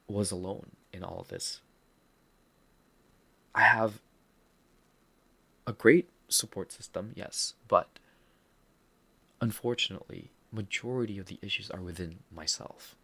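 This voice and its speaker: Man, Filipino accent, podcast host, low voice, gravelly, candid personal monologue. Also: fairly bright tone. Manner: candid, earnest, with self-deprecating laughs.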